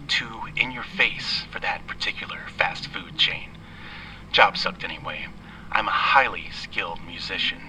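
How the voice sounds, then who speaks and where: A man playing a sleepless, self-pitying musician dictating a deadpan, rambling audio journal into a field recorder. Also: low voice. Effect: played back from a field recorder. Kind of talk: spoken diary monologue. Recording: distant, noisy field recorder playback.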